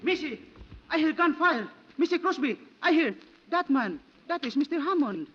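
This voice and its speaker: An actor playing a nervous, subservient houseboy in a 1940s radio melodrama, speaking halting broken English. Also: male voice, extremely high pitch, animated, over loud music. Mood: alarmed. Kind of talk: 1940s radio drama acting. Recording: dull, slightly noisy 1940s radio.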